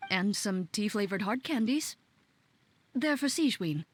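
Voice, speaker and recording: A woman voicing a composed, reserved, dutiful bodyguard in a video game, courteous and dry, with measured, formal speech. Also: mid-range voice, lively intonation, slight background noise, close to an everyday voice. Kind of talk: video game character acting.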